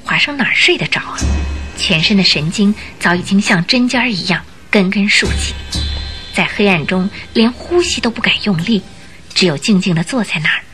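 A woman narrating a radio drama, telling the story in an expressive, suspenseful scripted style. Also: medium pitch, moderate pace, faint music behind.

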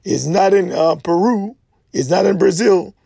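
A man's voice: high voice, a little slow, vocal fry, dark tone, husky, no echo, clean studio sound. A man from Haiti, giving an impassioned lecturing monologue, emphatic and insistent, repeating his points and checking in with "okay?". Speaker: speaker giving a history talk.

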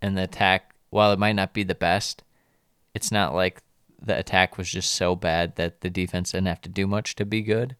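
Smooth low-voiced man on a football podcast. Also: fairly even intonation, normal speed, a bit bright, no room sound, clean studio sound. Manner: reads lineups, jokes about player names. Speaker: podcast co-host. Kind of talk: casual football podcast chat.

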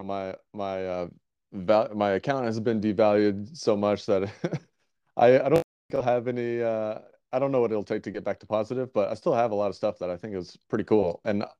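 A man's voice: fairly low pitch, fairly quick, mellow, clean tone, no echo, lo-fi sound. A man hosting a casual interview podcast, talking in an easygoing, rambling way with many restarts. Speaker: podcast host.

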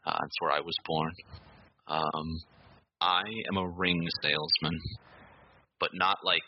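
A man's voice: extremely low pitch, highs cut off. A man playing a tabletop game with friends over a voice call, rambling and joking as he makes up his character. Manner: jokey, rambling with filler words. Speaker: player. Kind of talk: casual tabletop roleplay chat.

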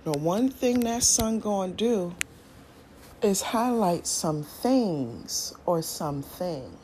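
A woman's voice: lowish voice, lively intonation, slow pace, dark tone, slight background noise. A woman hosting a casual solo podcast, talking in a loose, musing way with slang and thinking-aloud restarts. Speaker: podcast host.

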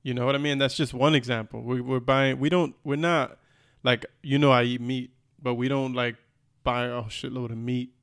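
Man, mid-pitched, easygoing, wry, rambles a bit, casual podcast talk. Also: normal speed, clean tone. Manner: relaxed, wry, a little rambling. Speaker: podcast co-host.

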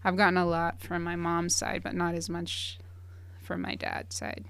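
A woman talking in a relaxed, reflective way, with lots of fillers. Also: fairly low pitch, normal speed, clean tone, dry recording. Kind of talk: casual conversation.

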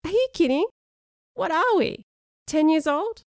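A sharp-tongued, defensive schoolgirl, voiced by a woman narrator. She is guarded but has a soft streak, in storybook dialogue with a very dry, close sound. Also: a little high, expressive, normal speed, creaky, no room sound. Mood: amused disbelief.

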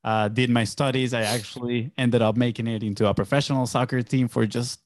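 A man's voice: medium pitch, fairly even intonation, a little fast, no echo, studio quality. Upbeat young man from Venezuela. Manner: upbeat, loose storytelling with many fillers. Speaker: podcast guest, entrepreneur. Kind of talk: casual interview chat.

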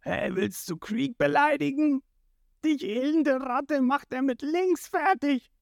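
A narrator voicing a soft-hearted, loyal male pirate henchman who pleads and wails in a storybook retelling. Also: medium pitch, lively intonation, takes it slowly, no echo, clean studio sound, voice pushed up. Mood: tearful, defensive. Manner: pleading, wailing.

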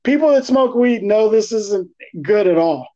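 A man co-hosting a podcast, chatting casually in profane slang with an animated, teasing, ranting manner. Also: high-pitched, a little slow. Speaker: podcast co-host. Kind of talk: casual, slangy movie chat. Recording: dull, lo-fi podcast audio.

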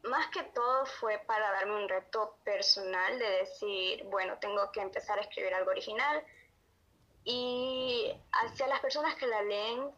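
A woman's voice: mid-range voice, unhurried, pushed and bright. Honduran woman in dull lo-fi audio. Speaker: interview guest, amateur writer. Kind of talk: casual interview answers.

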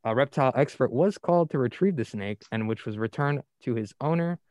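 A man's voice: medium pitch, lively intonation, brisk, darkish tone, no echo, clean studio sound. A young man from New Jersey hosting a casual college radio news chat, reading stories aloud in a playful, upbeat way. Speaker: radio show host.

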